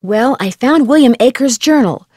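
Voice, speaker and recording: A young American amateur sleuth in a mystery game. Her voice sits a little high, and she lays things out in a composed, articulate way. The recording is very dry. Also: animated, dark tone, no room sound. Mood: informative. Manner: articulate, measured, matter-of-fact. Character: young female amateur detective, composed and matter-of-fact. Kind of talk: video game voice acting.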